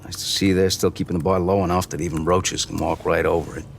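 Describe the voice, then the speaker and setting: Gravelly, low-voiced cynical tough guy. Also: little pitch movement, fast, slight background noise. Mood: grudging, sardonic. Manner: sardonic, gruff. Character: cynical, grudging, rough-edged tough guy. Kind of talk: video game cutscene acting.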